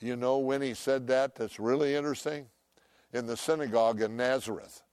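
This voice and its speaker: An older man preaching a sermon, loud and emphatic, swinging between shouts and hushed asides. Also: medium pitch, fairly even intonation, brisk, clear, resonant tone, no room sound. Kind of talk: impassioned sermon.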